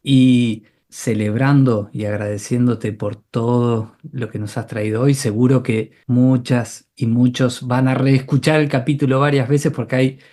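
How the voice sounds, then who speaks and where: A male podcast host with a mellow, warm tone. He speaks gently and appreciatively, answering his guest with soft murmurs of agreement. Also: medium pitch, little pitch movement, measured pace, few pauses. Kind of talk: interview conversation.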